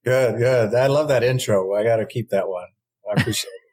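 A man in his forties from Southern California, answering questions as a guest in a casual podcast interview, rambling and halting with frequent restarts. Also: medium pitch, a little mellow. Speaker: guest, former baseball pitcher.

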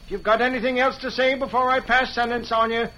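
An actor playing a stern, folksy, exasperated frontier judge in an old-time radio western. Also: male voice, extremely high pitch, little pitch movement, quick pace. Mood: stern, impatient. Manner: folksy, loud, commanding. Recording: noisy vintage radio broadcast recording.

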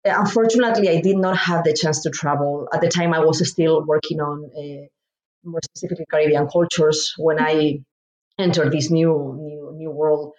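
A woman's voice: sits low, steady tone, a little mellow, muffled recording. Woman in a roomy echo. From Spain. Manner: measured, thoughtful, pauses to find words. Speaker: guest author, professor. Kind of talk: academic interview answers.

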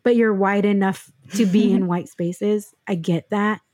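A woman's voice: medium pitch, steady tone, measured pace, darkish tone, no echo. A woman hosting a podcast talks in an easy, chatty way, affirming her guest and sprinkling in fillers. Her voice sits in a middle range, and the recording is dry and close. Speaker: podcast host. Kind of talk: casual podcast conversation.

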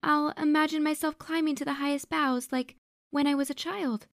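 A frightened young woman, put on by a man reading a storybook, stammering and pleading. Her voice sits very high with a rough, gravelly edge. The sound is dry and close. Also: fairly even intonation, normal speed, a bit bright, dry recording, heavily acted.

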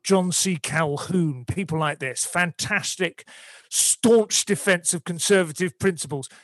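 A man with a slightly higher-pitched voice co-hosts a podcast. He speaks in a wry, articulate and opinionated way. The sound is dry and close. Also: measured pace, a bit bright, no echo. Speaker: guest co-host. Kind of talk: casual podcast chat.

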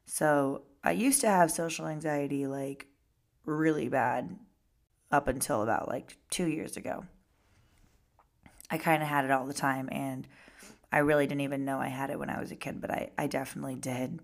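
A woman in her twenties hosting her own podcast, talking casually with a raspy, hoarse voice and many "like" fillers. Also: extremely low pitch, fairly even intonation, normal speed. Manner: chatty, lots of "like" fillers. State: hoarse from singing and screaming. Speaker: podcast host. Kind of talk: casual solo podcast talk.

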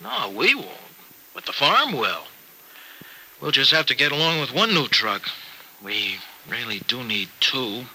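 An earnest, folksy American farmer in a vintage radio soap. His voice is mid-pitched and very bright, pushing forward with anxious, hesitant generosity. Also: very animated, a little slow, a little hiss or hum, heavily acted, rough recording. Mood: earnest, hopeful. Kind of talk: old-time radio soap opera acting. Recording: vintage radio drama recording.

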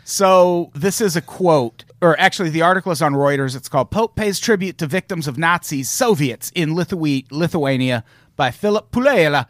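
A man's voice: a little high, moderate pace, no echo. Man reading out news stories. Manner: leads topics, reads out quotes. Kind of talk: casual comedic chat.

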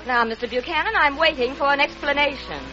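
An actress playing a haughty young society bride with a shrill, imperious manner. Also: female voice, fast, lightly acted. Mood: indignant. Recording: muffled old radio recording with music.

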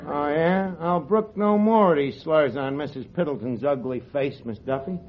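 A man's voice: on the high side, moderate pace, some chatter behind, lightly acted. An actor playing a cocky, scheming male tavern manager who talks in street slang and mangled grammar, in 1940s radio sitcom style. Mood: indignant. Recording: 1940s radio broadcast, dull audio, studio audience laughter and hiss. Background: studio audience laughter.

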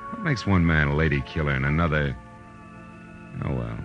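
An actor playing a world-weary, wry private detective with an American accent in 1940s radio style. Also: male voice, very deep voice, animated, normal speed, dull audio. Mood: weary, musing. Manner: wry, musing. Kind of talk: 1940s radio acting, hardboiled narration. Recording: vintage radio drama with music.

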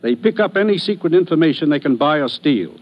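High-pitched, brisk American commissioner. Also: male voice, lively intonation. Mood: businesslike. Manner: brisk, grave, authoritative. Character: businesslike male commissioner briefing his agent. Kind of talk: 1950s radio acting. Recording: dull vintage radio recording.

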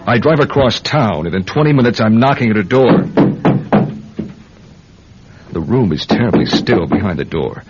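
An actor playing a hard-boiled American intelligence agent in a radio drama, narrating and talking tersely. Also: male voice, lowish voice, expressive, moderate pace, pauses often, close to an everyday voice. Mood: urgent. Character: American intelligence agent narrating his own case, tense, smitten. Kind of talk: radio drama acting, first-person narration. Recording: dull radio drama recording, music underneath.

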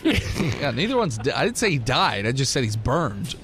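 A man's voice: medium pitch, big pitch swings, moderate pace, a bit bright, slight background noise. A man acting as game master, casually improvising combat descriptions and joking with his players. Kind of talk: casual improvised tabletop game narration and banter.

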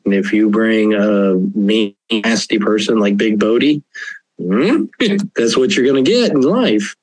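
A man's voice: lowish voice, a little mellow, no room sound, rough recording. An older man, an author, talking earnestly and reflectively as an interview guest, often laughing. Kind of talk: casual interview talk.

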